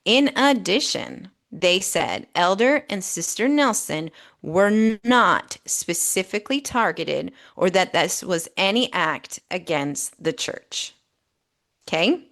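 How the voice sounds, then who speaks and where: A woman's voice: on the low side, expressive, slow pace, fairly bright tone. A woman co-hosting a podcast, explaining and reading out reports in a methodical, emphatic way, often checking in as she goes. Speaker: podcast co-host.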